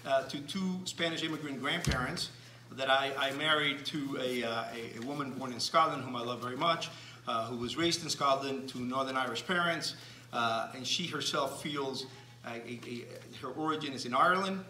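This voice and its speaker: A man's voice: medium pitch, bright, forceful tone, distant mic, slight background noise. Cuban-born man lecturing in an echoey hall. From Cuba. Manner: measured, frequent restarts and fillers. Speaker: speaker giving a talk. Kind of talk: lecture to an audience.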